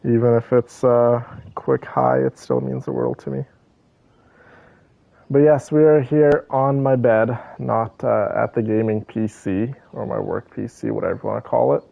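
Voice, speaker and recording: A man's voice: medium pitch, steady tone, measured pace, gravelly, dry recording, muffled recording. A man chatting casually as he livestreams a game, laid-back and affectionate with his viewers. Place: bedroom, on a bed. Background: soft game music.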